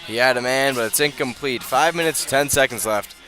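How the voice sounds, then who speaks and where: Bright-voiced American man calling football on radio. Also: mid-range voice, fairly quick, soft background music. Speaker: play-by-play commentator.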